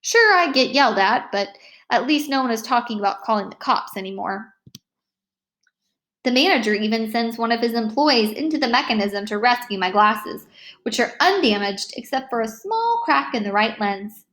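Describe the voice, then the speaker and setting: A woman reading a children's novel aloud as an expressive first-person storyteller. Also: fairly high pitch, normal speed. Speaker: read-aloud narrator.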